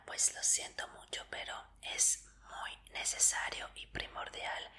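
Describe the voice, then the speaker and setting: A woman doing an ASMR roleplay in a breathy whisper, gently reassuring the listener as an examiner would. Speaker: ASMR roleplayer playing a martial arts tournament examiner.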